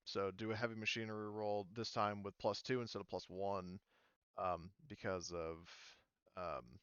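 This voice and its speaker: Rambling male game master, smooth voice. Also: lowish voice, a bit bright, no echo, muffled recording. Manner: rambling, many fillers and restarts. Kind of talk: running a tabletop roleplaying game.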